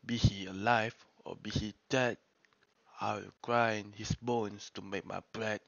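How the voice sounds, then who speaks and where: A man acting a gruff, menacing man-eating giant with a gravelly voice in an amateur radio drama. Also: on the low side, flat delivery, a little slow, no echo, muffled recording.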